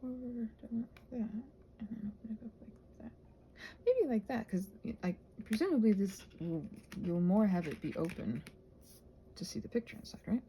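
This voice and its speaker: A woman in a casual, rambling ASMR vlog, soft-spoken and self-deprecating, thinking aloud in a meandering way and sometimes dropping toward a whisper. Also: mid-range voice, normal speed, slight background noise, rough recording. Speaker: ASMR creator rambling while crafting.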